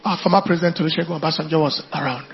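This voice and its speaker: A Nigerian man delivers an animated sermon in a high-pitched voice, hammering phrases through repetition as he addresses a congregation. The recording is somewhat dull and lo-fi, with music playing behind him. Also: very fast, vocal fry. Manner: emphatic repetition, declaiming to congregation. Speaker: preacher.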